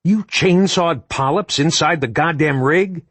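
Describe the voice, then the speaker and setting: A man's voice: fairly high pitch, a little slow, a bit bright, no echo, pitched above the performer's own voice. A male narrator voicing a frustrated male soldier scolding a comrade in raised, exasperated tones.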